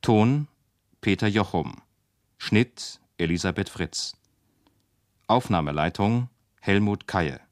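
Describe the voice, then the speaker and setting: A man working as a radio announcer, reading closing credits formally and in a measured way, pausing between names. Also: lowish voice, expressive, no room sound, studio quality.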